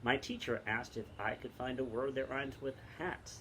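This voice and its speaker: A middle-aged man, a teacher, casually recommending and reading books aloud outdoors, pausing often mid-sentence. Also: medium pitch. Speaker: teacher and read-aloud co-host. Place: outdoors in wind. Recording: wind noise on the microphone.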